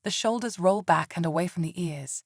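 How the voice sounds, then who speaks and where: Woman, meditation guide, lowish pitch, gentle soothing delivery, scripted, dry close sound. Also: brisk, creaky, no echo.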